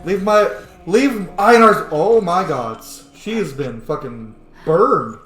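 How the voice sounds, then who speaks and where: A lively man commentates his way through a fantasy game in a fairly high, very expressive voice. He is casual and irreverent, swearing freely. The room echoes around him over faint noise. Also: takes it slowly, soft background music. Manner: profane, irreverent, reacts aloud to the game. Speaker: gamer playing and commenting on a fantasy roleplay game. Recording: roomy, faint background noise.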